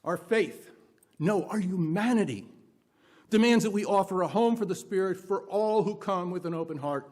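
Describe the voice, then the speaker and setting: A service leader with a male-sounding voice giving an earnest, solemn welcome address to a congregation in deliberate phrases. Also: medium pitch, darkish tone. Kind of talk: prepared sermon-like welcome address. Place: church service, speaking to congregation.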